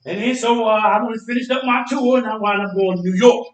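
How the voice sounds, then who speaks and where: An actor playing a boastful, teasing older American barbershop owner and former boxer, talking in an animated, folksy way and repeating himself for emphasis. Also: male voice, high voice, fast, lightly acted. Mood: reminiscing. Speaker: stage actor. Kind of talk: stage play dialogue. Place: indoor theatre stage, picked up from afar. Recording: echoey stage hall, distant microphone.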